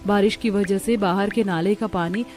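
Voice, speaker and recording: A woman's voice: mid-range voice, steady tone, moderate pace, a little mellow, natural voice. Woman as meek young cartoon daughter-in-law, music behind. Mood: earnest, practical. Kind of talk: cartoon story dialogue.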